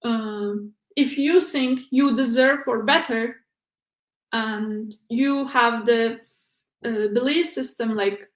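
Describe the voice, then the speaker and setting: A woman's voice: on the high side, echoey room. A young woman from Uzbekistan, a non-native English speaker, talking as a guest in a casual interview with many fillers and restarts. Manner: halting, frequent "like" and "uh" fillers. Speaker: interview guest, PhD researcher.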